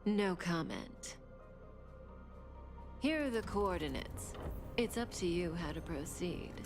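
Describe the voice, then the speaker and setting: A woman playing an enigmatic, self-possessed woman in an anime-style video game, aloof and deliberate. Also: lowish voice, expressive, over music. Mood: cool, cryptic.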